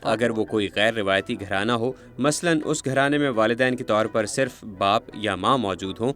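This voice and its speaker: A man giving a translated interview voice-over in a steady, explanatory manner. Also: on the low side, moderate pace.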